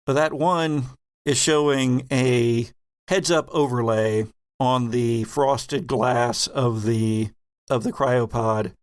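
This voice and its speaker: A man narrating a tabletop horror game as game master, telling it deliberately with suspenseful pauses. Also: mid-range voice, fairly even intonation, dry recording, studio quality.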